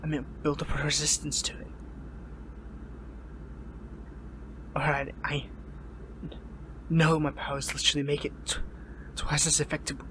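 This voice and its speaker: A wounded young hero held captive , acted by a man in an audio drama. His voice sits a little high for a male, strained and weary, with sardonic defiance and halting, stammered phrasing. Clear noise runs behind the recording. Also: little pitch movement, fairly bright tone, natural voice, poor audio. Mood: strained, wry. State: pained, weary. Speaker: voice actor in audio roleplay. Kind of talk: dramatic audio roleplay, one-sided dialogue.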